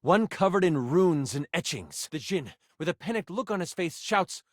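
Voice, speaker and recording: Game master, theatrical, fantasy storytelling, slightly high voice, very animated, dry sound. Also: male voice, moderate pace, dry recording. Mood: dramatic, suspenseful. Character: theatrical, excitable male game-master character. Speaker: game character narrating a tabletop fantasy adventure.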